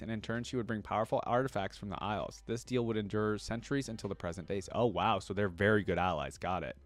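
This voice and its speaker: A young man with a fairly low voice reads fantasy lore aloud at a steady clip, stumbling now and then on odd names. He stops often for quick, casual asides before going back to the text. The sound is close and dry, with no room echo. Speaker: reaction video host. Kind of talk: reading a wiki aloud with casual comments.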